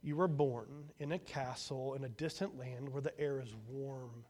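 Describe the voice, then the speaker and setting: A man voicing a calm, patient eldest son who speaks gently and earnestly. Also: mid-range voice, a little slow. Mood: gentle earnest.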